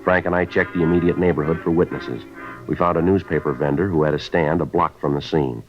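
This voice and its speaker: An American actor playing a terse, deadpan police detective who narrates his case in a 1950s radio drama style. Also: rumbling low, quick pace. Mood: flat, matter-of-fact. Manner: deadpan, matter-of-fact. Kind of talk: 1950s radio acting, clipped scripted narration. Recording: dull vintage radio recording with music.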